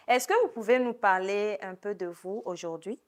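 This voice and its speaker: A woman's voice: mid-range voice, slow. A woman hosting a TV interview, addressing her guest politely and formally. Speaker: TV show host.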